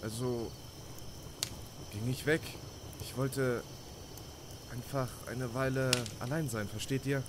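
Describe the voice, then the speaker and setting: A man's voice: mid-range voice, fairly even intonation, slow, long pauses, background noise, natural voice. A man voicing a troubled, devout young man in a video game fan dub, hesitant and reflective. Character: troubled, searching devout young man, soft-hearted and doubting. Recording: night crickets and outdoor ambience behind.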